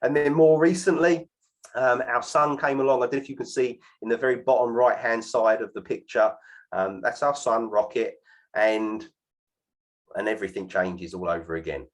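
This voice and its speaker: A man around forty giving an energetic sales presentation on a video call, tagging "right?" onto his sentences. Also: on the low side, moderate pace. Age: 40s. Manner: keeps adding "right?" to sentences, lively and persuasive. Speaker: host of an online presentation.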